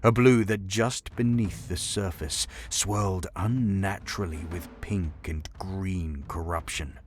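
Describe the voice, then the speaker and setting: A man reading dark science fiction as dramatic scripted narration, measured and grave in delivery. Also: low voice, steady tone, a little hiss or hum. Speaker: story narrator. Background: music.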